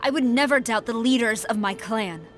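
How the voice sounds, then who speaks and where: A woman's voice: a little high, normal speed, soft background music, lightly acted. A woman voicing a stern, proud and loyal clan general in a video game, formal, earnest and commanding. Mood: doubtful, disturbed.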